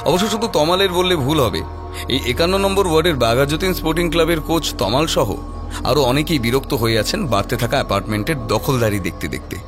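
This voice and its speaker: A man's voice: a little high, animated, quick pace. A man narrating a scripted horror audio story in a dramatic storytelling style. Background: music.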